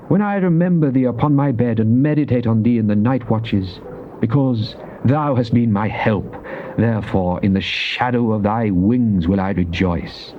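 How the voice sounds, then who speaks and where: A man acts a devout psalmist in a dramatized Bible recording, praying fervently. He declaims solemnly in measured phrases. The old cassette sound is dull, with a faint hiss behind him. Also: medium pitch, lightly acted. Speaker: scripture reader. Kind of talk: dramatized King James Bible reading.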